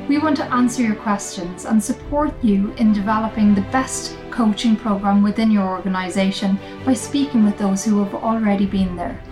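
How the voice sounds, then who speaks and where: A woman reads a scripted podcast intro in a polished, upbeat voice, with clear music playing behind her. Her voice rings in a roomy, echoey space. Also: medium pitch, normal speed, few pauses, a little mellow. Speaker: show intro announcer. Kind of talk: scripted promo read. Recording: echoey room with music bed.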